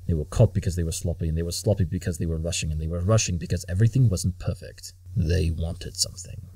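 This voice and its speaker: A man's voice: sits low, fairly even intonation, fast talker, creaky, dark tone, husky, dry recording, exaggerated voice. An actor playing a brilliant, imperious detective in an audio drama, condescending and impatient as he lays out deductions. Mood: smug, explaining. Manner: clipped deductive monologues, condescending.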